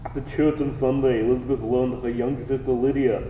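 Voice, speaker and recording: A man's voice: medium pitch, steady tone, fast, natural voice. Guy doing a formal radio narrator, 1920s parody, phone-like sound with a bit of hiss. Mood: storytelling. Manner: formal storytelling. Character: formal old-time radio drama narrator. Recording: tinny, echoey, distant, faint hiss.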